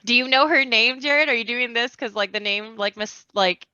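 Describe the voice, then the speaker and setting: A woman's voice: a little high, moderate pace, bright, forceful tone, smooth, dry recording, dull audio. A woman guesting on a podcast, talking casually in a slangy, rambling, informal way.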